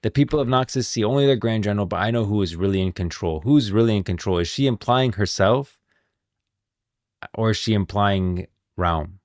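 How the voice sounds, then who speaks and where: A man's voice: lowish voice, fairly quick, no echo, clean studio sound. A man streaming casual game lore commentary, rambling and thinking aloud as he goes, often repeating himself. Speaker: streamer, host.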